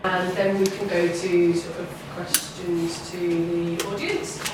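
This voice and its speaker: Female event host, informal delivery, mid-pitched, noisy echoing room. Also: level tone, slow. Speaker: panel host. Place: indoor event room at a film festival panel. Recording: echoey room from far off, noisy.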